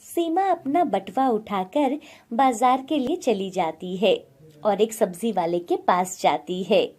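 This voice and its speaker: A woman's voice: medium pitch, takes it slowly, darkish tone. A woman narrating a scripted moral bedtime story as a storyteller.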